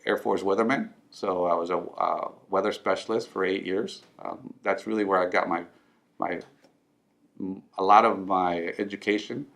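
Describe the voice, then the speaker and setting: A middle-aged man from Mexico, whose first language is Spanish, tells his own story in English. His voice sits very low and carries a gravelly edge. He speaks earnestly and often pauses on ums and restarts his sentences. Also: a bit bright. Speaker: financial firm owner. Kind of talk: personal story told to camera.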